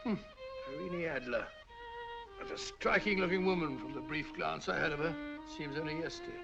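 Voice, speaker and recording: A bluff, gravelly old-film doctor. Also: male voice, on the high side, brisk, music in the background. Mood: reminiscing. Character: bluff, chatty middle-aged male doctor and chronicler. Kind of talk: 1940s film acting. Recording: dull lo-fi old film soundtrack.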